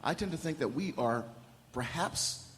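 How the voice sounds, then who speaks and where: Man, loud, emphatic, lively pitch, long hall reverb. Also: moderate pace, slight background noise. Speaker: audience member. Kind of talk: public comment at a panel.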